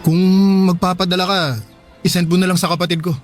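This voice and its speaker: A man's voice: sits high, level tone, fast, over loud music, close to an everyday voice. An actor playing an earnest working-class husband and father in a radio drama, speaking plainly and conversationally. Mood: worried, reassuring.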